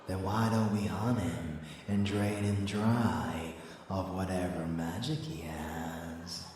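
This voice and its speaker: A man's voice: sits low, level tone, slow pace, darkish tone, heavy reverb, recorded from a distance, a little hiss or hum. A man playing a rebellious vampire lover in an intimate ASMR audio drama, teasing, boastful and doting, with menace underneath.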